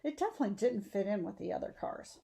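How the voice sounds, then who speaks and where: A woman's voice: mid-range voice, expressive, fast talker, creaky, warm tone. Woman reading a story aloud. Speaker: teacher reading a novel aloud. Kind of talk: expressive audiobook-style storytelling.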